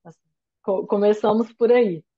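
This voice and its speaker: A woman's voice: mid-range voice, normal speed, slightly rough, narrow, tinny audio. A woman from Brazil giving an online lecture on language teaching. She explains step by step and keeps checking in with "né?". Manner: thoughtful, explanatory, frequent "né?" tags. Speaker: course lecturer.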